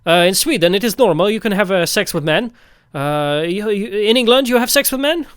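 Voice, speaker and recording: A man voicing a chatty, forward Iraqi taxi driver in halting, broken English. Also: high voice, expressive, fairly bright tone, clear, resonant tone, no echo, studio quality, pitched above the performer's own voice. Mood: eager, probing.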